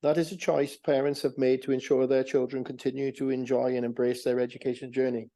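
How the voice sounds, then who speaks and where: A man reads a prepared statement at a council meeting, stumbling now and then on a word and starting it over. His voice is very dark and mellow, and it stays flat and level throughout. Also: mid-range voice. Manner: reads steadily with small stumbles and restarts. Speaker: council committee member.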